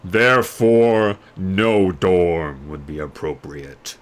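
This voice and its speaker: Man voicing a slow, ominous magic mirror. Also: low voice, fairly even intonation. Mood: solemn, ominous. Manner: slow, drawn-out, ominous pronouncements. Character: solemn magic dark mirror pronouncing judgement.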